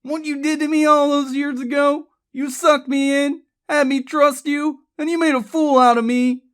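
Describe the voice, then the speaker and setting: A woman voicing a stern, vengeful older uncle in an audiobook, snapping loud commands in a rage. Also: medium pitch, moderate pace, lightly acted. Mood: screaming rage.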